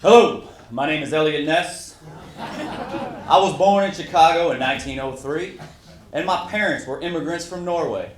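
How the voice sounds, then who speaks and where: A man's voice: a little high, normal speed, lightly acted. A man playing a famous, proud 1930s Prohibition lawman, giving a formal, matter-of-fact recitation of his career. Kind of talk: first-person historical monologue, read aloud. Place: indoor room at a live reading event. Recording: echoey room, live stage reading.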